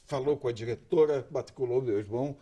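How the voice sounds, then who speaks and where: Older man, mellow husky voice. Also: mid-range voice, dull audio. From Curitiba, Brazil. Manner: slow, halting, repeats words while recalling. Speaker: guest journalist. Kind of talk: reminiscing interview talk. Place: TV studio.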